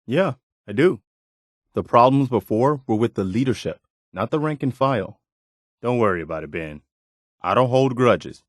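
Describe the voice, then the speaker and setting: A man's voice: medium pitch, lively intonation, moderate pace, long pauses, no echo, studio quality, lightly acted. A man voicing an earnest, dutiful militia officer and loyal second-in-command in a video game, sincere and plain-spoken. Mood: earnest, hopeful. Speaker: video game companion character voice actor. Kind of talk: scripted game dialogue.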